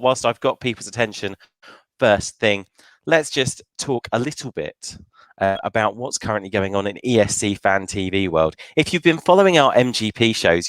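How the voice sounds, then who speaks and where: A man's voice: on the low side, fairly bright tone, dry recording. A man hosting a live online fan panel show in a chatty presenting style.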